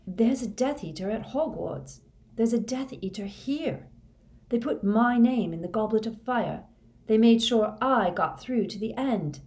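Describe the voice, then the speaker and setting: A woman's voice: medium pitch, expressive, takes it slowly, dark tone, pitched above the performer's own voice. A woman reading a storybook, voicing a frightened, bewildered teenage wizard boy in a breathless, insistent, earnest way. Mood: urgent alarm.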